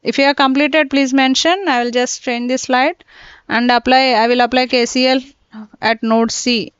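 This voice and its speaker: High-voiced woman teaching online. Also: steady tone, moderate pace, smooth, no echo. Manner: repetitive, checks with students often, step by step. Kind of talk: live online class lecture. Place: indoors, teaching from a computer. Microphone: laptop mic. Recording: dull lo-fi online class audio.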